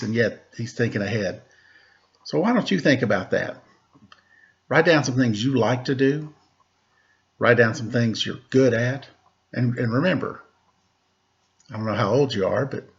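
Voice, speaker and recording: A man speaking as a teacher at a children's story time, with a playful, wry, conversational manner. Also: medium pitch. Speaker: teacher reading aloud to children. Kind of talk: picture-book story time, casual chat. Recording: dull, lo-fi sound.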